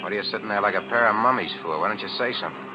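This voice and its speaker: Actor as a low-voiced, brash old-radio crook. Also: male voice, very fast, close to an everyday voice. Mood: impatient, bullying. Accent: American. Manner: curt, slangy, tough-guy snap. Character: brash, hard-boiled young male crook, impatient and cocky. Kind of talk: 1940s radio thriller acting. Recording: muffled, noisy old 1940s radio recording.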